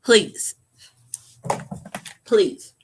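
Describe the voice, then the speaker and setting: A young woman vlogger giving sassy, profane, animated reaction commentary to camera. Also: drawn-out words.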